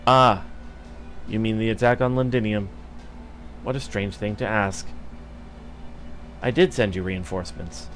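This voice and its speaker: A man voicing a scheming courtier who speaks in a measured, insinuating way. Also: fairly low pitch, soft background music, close to an everyday voice. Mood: sly.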